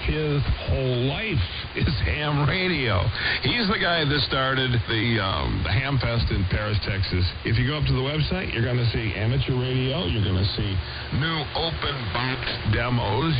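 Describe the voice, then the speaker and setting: Animated American radio pitchman on shortwave. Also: moderate pace, lo-fi sound. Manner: folksy, emphatic, repetitive sales pitch. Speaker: radio advertiser pitching a ham radio store. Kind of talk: conversational radio ad read. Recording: band-limited shortwave radio, music bleeding in. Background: music.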